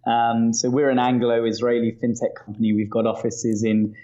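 British guy in his 30s on a call, soft dark voice, bit muffled and lo-fi. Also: medium pitch, monotone, moderate pace. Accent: British English. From northwest London, England. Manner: repeats words at phrase starts, many fillers. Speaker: startup founder, guest. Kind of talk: casual interview answers. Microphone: video call from home.

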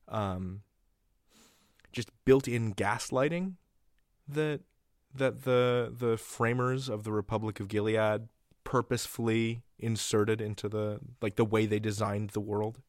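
A man with a lowish voice hosts a conversational interview, recorded very dry with no room sound. He speaks thoughtfully and a little haltingly, piecing his thoughts together as he talks. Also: no echo. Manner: halting, thoughtful, tentative phrasing. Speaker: interviewer, podcast host.

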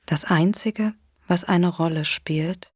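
A woman leading a guided imagery relaxation exercise in a soothing, gentle, unhurried way with long pauses. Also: lowish voice, slightly rough, dry recording, clean studio sound. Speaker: guided meditation instructor.

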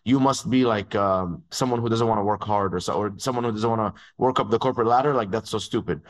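A young man from Dubai, telling his agency story in a fast, casual way full of "like"s. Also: lowish voice. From born in Egypt, grew up in Dubai. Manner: fast, filler-heavy talk with many "like"s, acts out dialogue. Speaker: agency founder, guest.

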